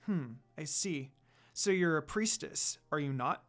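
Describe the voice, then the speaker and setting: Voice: mid-range voice, lively intonation, unhurried, soft background music. A narrator voicing a proud, courteous female demon dog, a protective mother with formal phrasing. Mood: composed, curious.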